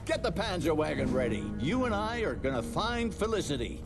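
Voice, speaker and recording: A man's voice: a little high, big pitch swings, brisk, over music. An actor playing a hearty, folksy older father and veteran hunter in a video game. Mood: exuberant.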